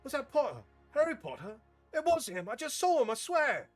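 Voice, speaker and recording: A man's voice: very high voice, wildly swooping pitch, brisk, soft background music, voice pushed up, heavily acted. A man voicing an eager young student. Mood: excited.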